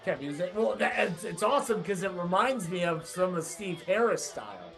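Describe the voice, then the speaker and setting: A man interviewing a band singer, asking eager, halting questions with many restarts. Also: sits high, gravelly, fairly bright tone, soft background music. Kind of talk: casual enthusiastic interview.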